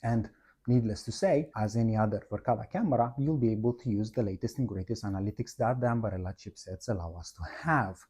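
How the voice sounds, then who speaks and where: A man's voice: lowish voice, quick pace, dark tone, slightly rough. A man narrating a product walkthrough, explaining methodically, one step at a time. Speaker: product presenter, installer.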